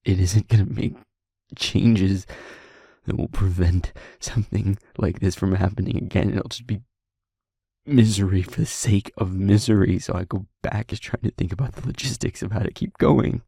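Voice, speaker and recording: A man playing a guilt-ridden werewolf pack leader confiding in a partner in an intimate audio drama, with a halting, heavy, emotionally raw delivery. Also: low-pitched, fairly even intonation, creaky, dark tone, slightly rough, dry recording, studio quality, exaggerated voice. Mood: weary, guilt-ridden.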